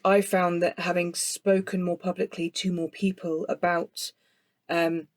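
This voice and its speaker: A woman's voice: lowish voice, little pitch movement, measured pace, dry recording. A woman speaking openly in a podcast conversation as a host.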